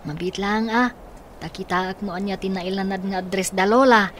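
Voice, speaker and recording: A woman's voice: medium pitch, steady tone, normal speed, natural voice. Woman acting an earnest Ilocano young boy, faint noise. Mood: earnest, puzzled. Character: caring older child, a boy called big brother. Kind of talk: Ilocano radio drama acting.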